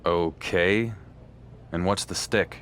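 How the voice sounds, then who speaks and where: An actor playing a confident, sardonic champion wrestler in a video game cutscene. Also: male voice, sits low, slow, fairly bright tone, slight background noise. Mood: cool, challenging. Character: defiant, dry-witted male champion wrestler.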